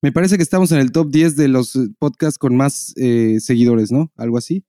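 A man's voice: mid-range voice, normal speed, warm tone, no echo, clean studio sound. A man with a Mexican accent hosting a podcast in casual, unscripted banter full of slang and swearing. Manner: slangy, profane. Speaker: podcast host.

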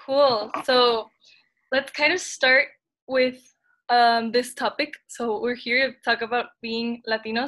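A woman's voice: fairly high pitch, bright, forceful tone. A teenage girl from El Salvador hosting a casual podcast chat, chatty and upbeat with many fillers. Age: teens. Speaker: podcast host.

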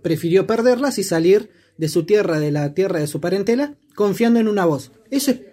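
A man's voice: high voice, expressive, a little fast, warm tone. A man with an Argentine accent explaining his views in a casual discussion, reflective and asking rhetorical questions. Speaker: discussion participant.

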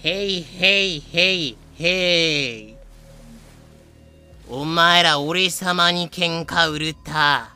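An actor in a fan audio drama playing a brash pirate captain with rough, swaggering speech. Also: medium pitch, very slow, pushed and bright, faint music behind, exaggerated voice. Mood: menacing. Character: brash male pirate captain, menacing thug turned chummy.

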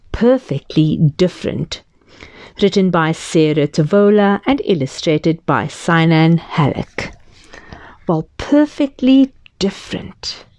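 A woman's voice: on the low side, darkish tone, no room sound. A woman reading a children's picture book aloud, chatting to her young listeners and dropping into whispered asides. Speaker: children's storyteller.

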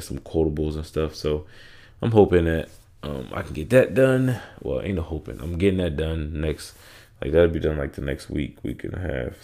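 A man's voice: very deep voice, dark tone. A young man, congested and with a sore throat, hosting a casual solo podcast and rambling with lots of fillers and restarts. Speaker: podcast host.

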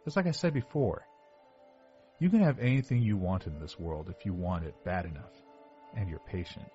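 A man playing a heavyset, obsessive young killer confessing defensively to detectives in a horror audio drama monologue, with a husky voice. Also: sits low, lively intonation, normal speed, warm tone. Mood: evasive, smug. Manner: confessional, defensive, self-justifying. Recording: dull lo-fi sound with music behind.

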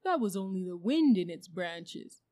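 A woman's voice: lowish voice, very animated, measured pace, dark tone. Woman doing a blunt, dismissive storybook warthog. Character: blunt, opinionated warthog, slow-witted and sure of itself. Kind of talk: storybook character voice.

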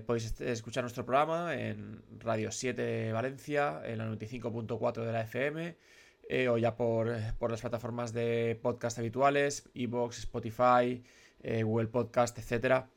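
A man's voice: mid-range voice, creaky. A man in his thirties hosting a radio show, nasal and congested from a cold, rambling with many fillers and restarts. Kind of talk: casual radio talk.

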